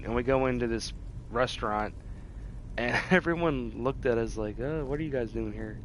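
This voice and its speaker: A man's voice: on the low side, slight background noise. A man streaming a game, chatting casually, rambling and muttering curses to himself. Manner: rambling, mumbles and swears to himself. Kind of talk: casual live-stream chat while playing.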